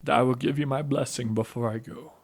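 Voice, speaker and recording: Man playing kindly priest narrator for an audio play, average pitch, formal and courteous. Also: fairly even intonation, brisk, lightly acted. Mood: courteous, measured.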